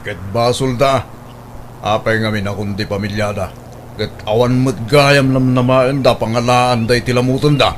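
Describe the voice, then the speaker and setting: A man's voice: mid-range voice, steady tone, moderate pace, close to an everyday voice. A man playing a stubborn, argumentative old husband and father in a radio drama. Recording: faint background noise.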